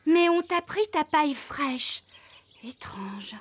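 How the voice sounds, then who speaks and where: A woman's voice: high-pitched, takes it slowly. A woman voicing a curious, caring young woman farmer who takes charge in a children's cartoon. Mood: curious, puzzled. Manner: inquisitive, expressive. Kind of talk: animated children's cartoon dub. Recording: loud music behind the voice. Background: loud cartoon music.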